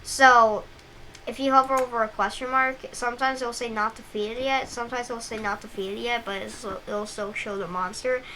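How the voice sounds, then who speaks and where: A woman's voice: on the high side, fairly even intonation, moderate pace, bright, forceful tone. Young female gameplay host, scratchy, rambling and casual, noisy home recording. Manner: rambling, filler-heavy, frequent restarts.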